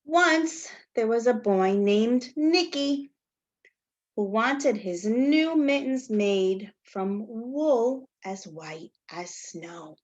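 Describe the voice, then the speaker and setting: A woman teacher doing a story time read-aloud for young children, with simple, deliberate phrasing and a sing-song, questioning delivery. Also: fairly high pitch, lively intonation, darkish tone, muffled recording. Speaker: teacher reading a picture book to young children.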